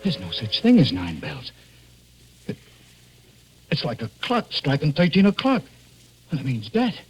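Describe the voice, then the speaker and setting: An actor playing a haunted, fearful husband, a big deep-sea diver, in anguished 1940s radio style. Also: male voice, huge pitch swings, unhurried, poor audio. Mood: anguished, dreading. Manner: anguished, pleading. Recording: 1940s radio drama with faint music.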